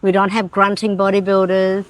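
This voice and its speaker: A woman's voice: medium pitch, monotone, moderate pace, no echo, rough recording. Woman fitness coach, eager and agreeable, casual interview in a small studio. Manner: warm, eager, agreeable, with many restarts and frequent yeahs.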